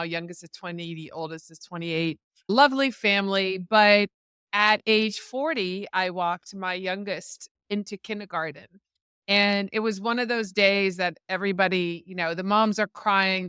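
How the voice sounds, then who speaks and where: A woman in her fifties, born in the Netherlands and a native Dutch speaker, tells her story as a podcast guest in a chatty, animated way. Her voice is smooth and clear. It is recorded dry and close. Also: medium pitch, no room sound. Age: 50s. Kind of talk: casual interview storytelling.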